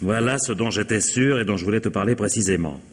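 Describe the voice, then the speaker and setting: An actor playing a weary, firm husband in old French radio drama style, measured and reproachful. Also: male voice, lowish voice, breathy edge, big-room sound. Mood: exasperated, firm. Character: exasperated, firm husband, weary of his wife's suspicions.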